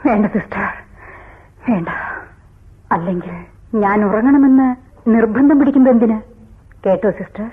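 A woman's voice: fairly high pitch, normal speed, long pauses, breathy edge. An actress in a radio drama playing a sorrowful, brooding woman who speaks in poetic, theatrical monologue. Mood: wistful. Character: sorrowful woman, Dakshayani, brooding, bitterly wry, recalling her father. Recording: tinny phone-like old radio broadcast.

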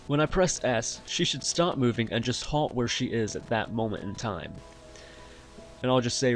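A man hosting a software tutorial, explaining each step in an instructional way. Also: medium pitch. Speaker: tutorial host. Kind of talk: software tutorial narration over screen recording.

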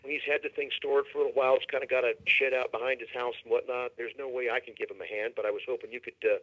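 A man's voice: medium pitch, little pitch movement, very fast, fairly bright tone, dull audio. A man making a casual business call in a folksy, slangy way, sounding like he is on the phone. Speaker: auctioneer client on a phone call.

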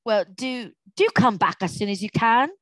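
A woman's voice: a little high, normal speed, fairly bright tone, dry recording, natural voice. A woman voicing a young boy in a storybook, anxious and easily indignant, with a pleading, exclamatory way of talking. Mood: urgent.

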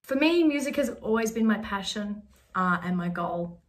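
A woman's voice: medium pitch, lively intonation, moderate pace. A woman in her twenties, a music student introducing herself cheerfully into a webcam. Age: 20s.

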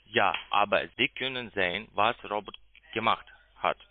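A man with a foreign accent, not a native speaker, making a halting sales call and searching for words in broken phrasing. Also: lowish voice, no room sound. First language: not German. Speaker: phone sales agent pitching investments. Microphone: telephone. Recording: tinny, muffled phone line.

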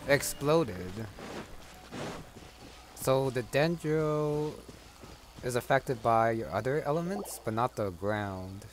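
A man doing casual gameplay commentary, thinking aloud in a low-key way. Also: animated, takes it slowly, long pauses, noisy background. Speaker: gamer. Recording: loud game music behind the voice.

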